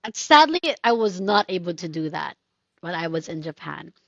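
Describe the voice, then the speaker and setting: A woman co-hosting a casual chat podcast, telling animated anecdotes, acting out quoted voices and laughing often. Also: fairly low pitch, normal speed, gravelly, highs cut off. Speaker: podcast co-host.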